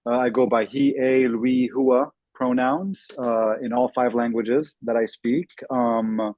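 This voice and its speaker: A man's voice: medium pitch, fairly even intonation, slow, no echo, highs cut off. A man from Lebanon introducing himself as a panelist over a video call, fluent and listing, with occasional ums. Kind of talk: self-introduction on a webinar panel.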